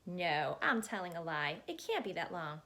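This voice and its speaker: A woman voicing an excitable storybook chatterbox man who rambles on breathlessly and keeps interrupting himself. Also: fairly low pitch, normal speed, pitched below the performer's own voice, heavily acted. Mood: chatty, rambling.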